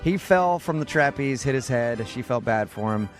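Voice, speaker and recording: A man hosting a radio show with lively, teasing, jokey banter. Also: medium pitch, normal speed. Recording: music bed behind. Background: music.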